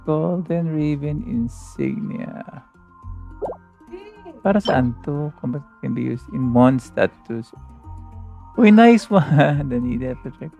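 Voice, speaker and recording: A man's voice: medium pitch, creaky. A man with a Filipino accent giving casual live commentary while he plays a game, half talking to himself. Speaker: game livestreamer. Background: game music.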